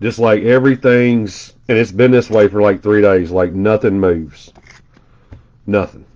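A man's voice: fairly low pitch, steady tone, a little slow, darkish tone, highs cut off. A congested man doing casual live commentary as he opens trading card packs, rattling off player names with chatty asides. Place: indoor room at a table.

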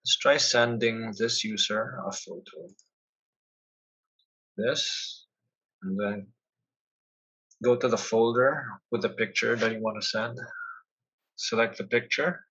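A man presenting a product demo, giving step-by-step instructions in a software tutorial. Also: mid-range voice, takes it slowly, long pauses, husky, low-quality recording. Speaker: product demonstrator.

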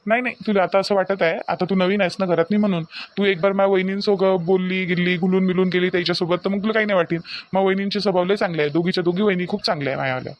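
Newlywed village husband male actor, cartoon family drama. High-voiced, brisk, polite and reassuring, colloquial Vidarbha Marathi accent. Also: steady tone, highs cut off. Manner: colloquial, repeats himself soothingly.

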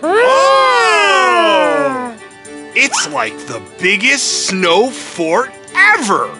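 A woman's voice: sits high, sing-song, drawn-out words, gravelly, over music, exaggerated voice. A woman voicing a playful, wisecracking little boy in a children's cartoon. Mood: amazed. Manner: wide-eyed, jokey.

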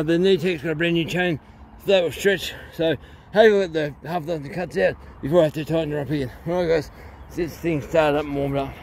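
Higher-voiced man rambling outdoors over noise. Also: a little mellow. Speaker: chainsaw hobbyist presenting his video. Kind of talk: casual unscripted vlog talk. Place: outdoors in an open wood yard. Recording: noisy outdoor recording with ambient hum.

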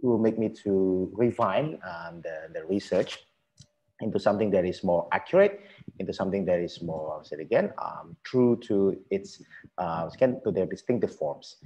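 Deep, gravelly, hesitant Indonesian man. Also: very animated, mellow, low-quality recording. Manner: hesitant, halting, repetitive, polite. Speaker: academic panelist. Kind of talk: academic answer to a question. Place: small room at home, video call. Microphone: headset.

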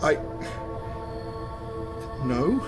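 A man's voice: very slow, over music. A man acting in a radio drama as an ordinary, uneasy husband, earnest and questioning. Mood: shocked, questioning.

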